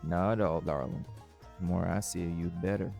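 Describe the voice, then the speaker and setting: Dude doing charming cowboy outlaw for audio rp, real deep n gravelly, flirty sweet talker, folksy, unbothered. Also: male voice, steady tone, brisk, darkish tone, lo-fi sound, soft background music. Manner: folksy, suave, teasing endearments. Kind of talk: intimate audio roleplay acting.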